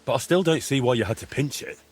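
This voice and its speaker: An actor playing a sensible, helpful adventurer in a video game, speaking in a frank, questioning and wry manner. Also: male voice, medium pitch, fast talker, slightly rough, close to an everyday voice. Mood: probing. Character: sensible, helpful young male adventurer with dry wit. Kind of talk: video game dialogue acting.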